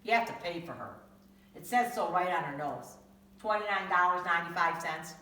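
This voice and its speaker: A woman's voice: lowish voice, lively intonation, normal speed, sharp tone. A woman voicing an insistent male cashier in a read-aloud storybook, blunt and pushy. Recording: echoey room, from far off.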